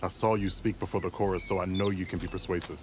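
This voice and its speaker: An actor playing a steady veteran who speaks in a measured, diplomatic way in a game. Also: male voice, deep voice, close to an everyday voice. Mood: earnest. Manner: measured, calm, persuasive. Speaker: game character.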